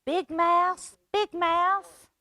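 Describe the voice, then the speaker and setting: A woman's voice: extremely high pitch, level tone, very slow, sharp tone, no room sound, pitched above the performer's own voice, heavily acted. A woman voicing a small, anxious young storybook mouse who pleads and calls out urgently. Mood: frightened, urgent.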